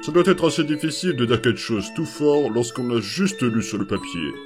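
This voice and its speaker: A kindly adult skeleton, played by a man, coaxes strange little ones with hesitant, halting patience. His middle-pitched voice swings up and down expressively. It is a fan comic dub with faint music underneath. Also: expressive, lightly acted. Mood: gentle. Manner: hesitant, soft-spoken, full of small pauses and fillers. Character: gentle patient adult male skeleton caring for strange children.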